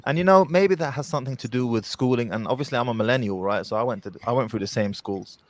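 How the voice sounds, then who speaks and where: A man in his thirties, a podcast guest, talking bluntly and forcefully. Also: mid-range voice, fast talker, no room sound. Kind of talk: motivational podcast talk.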